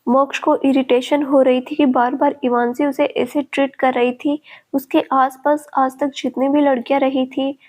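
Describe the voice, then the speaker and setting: A woman narrating a scripted family story at a steady, even reading pace. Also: high-pitched, moderate pace.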